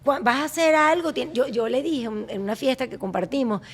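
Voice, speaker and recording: A woman's voice: sits high, fairly quick, breathy edge. A woman in her forties hosting a podcast, chatting casually and exclaiming often. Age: 40s. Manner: lively, exclamatory, laughing often. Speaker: podcast host. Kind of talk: casual interview chat.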